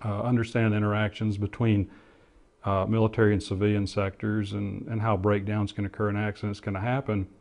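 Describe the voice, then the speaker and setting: Man, middle-aged, lower voice, reflective, stop-and-start explaining, interview recollection. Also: flat delivery, brisk, a little mellow. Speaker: interviewee, former air traffic controller and pilot.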